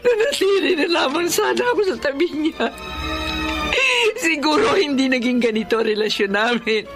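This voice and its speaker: Radio drama mother, middle-aged: anguished, pleading, guilt-ridden, higher-pitched, music underneath. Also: lively intonation, moderate pace. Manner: emotional, pleading.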